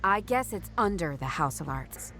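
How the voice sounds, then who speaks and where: An actress playing a curt, sardonic detective in a noir video game, talking in a dry, brusque and impatient way. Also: female voice, on the low side, lively intonation, normal speed, bright, forceful tone, a little hiss or hum. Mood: musing, low.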